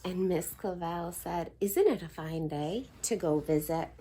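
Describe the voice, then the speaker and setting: A woman in her thirties reading a bedtime picture book aloud to toddlers at home, gentle and sing-song, sometimes dropping to a whisper. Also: fairly low pitch, unhurried, slightly rough. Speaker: children's video host reading bedtime stories.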